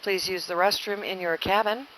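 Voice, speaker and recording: A woman's voice: mid-range voice, fairly even intonation, moderate pace, a bit bright. A woman flight attendant giving an inflight announcement over the cabin PA of an airliner. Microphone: cabin PA handset. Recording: noisy airplane cabin PA.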